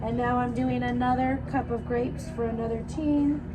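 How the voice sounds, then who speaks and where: A hoarse middle-aged woman, a mother vlogging casual commentary while cooking in a hotel room on her handheld phone. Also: fairly high pitch, steady tone, moderate pace, very noisy. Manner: chatty, upbeat running commentary. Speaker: vlogger, mother of a large family.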